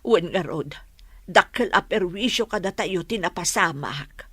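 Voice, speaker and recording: An actress with an Ilocano accent playing an aggrieved, determined village daughter in a radio drama. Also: female voice, fairly low pitch, lively intonation, normal speed, fairly bright tone, breathy edge, no room sound, clean studio sound. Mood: grieving.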